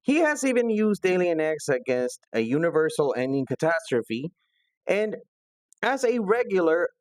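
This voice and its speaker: A man's voice: a little high, very animated, normal speed, no echo. Dry-sounding man narrating a review. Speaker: host of a comics review video. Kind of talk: scripted video essay narration.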